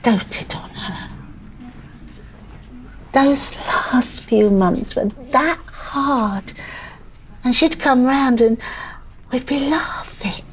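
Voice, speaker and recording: An actress playing a grieving older widowed mother in a drama, rambling and plaintive, with deadpan wit and stubborn pleading. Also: sits high, very slow, pauses often, slight background noise, poor audio. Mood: wistful pleading.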